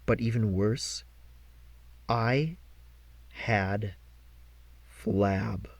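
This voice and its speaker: A man reading a novel aloud as an audiobook narrator, with an expressive, dramatic delivery. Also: on the low side, very slow, creaky, no room sound. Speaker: amateur audiobook reader.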